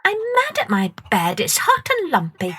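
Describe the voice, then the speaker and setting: A woman's voice: medium pitch, huge pitch swings, normal speed, pushed and bright, slight background noise, voice pushed up. A woman voicing a stubborn, restless little girl in a storybook reading, with a whiny, squealing manner. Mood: cross.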